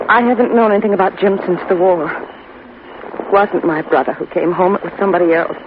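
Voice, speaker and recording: A woman's voice: mid-range voice, natural voice. An actress playing a weary, distressed woman with an earnest, pleading manner in a 1950s radio drama. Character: distressed, weary woman ashamed of her criminal brother. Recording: muffled, noisy old 1950 radio recording.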